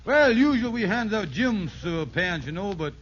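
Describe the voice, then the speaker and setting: A man's voice: high-pitched, sing-song, normal speed. An actor playing a scheming, pompous conman who uses big words and mangled grammar in an old radio sitcom. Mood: bossy, sly. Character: scheming, fast-talking male conman, pompous fake fitness instructor. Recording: muffled, noisy old radio broadcast.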